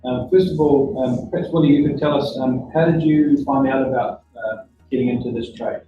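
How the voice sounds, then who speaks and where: A middle-aged man with a New Zealand accent hosts a live panel at a technical institute and halts often on ums and uhs. He sounds far from the mic in a very echoey room. The recording is dull, with music playing clearly behind him. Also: medium pitch. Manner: halting, many ums and uhs. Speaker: host. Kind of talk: live-streamed panel chat. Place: teaching workshop at a technical institute.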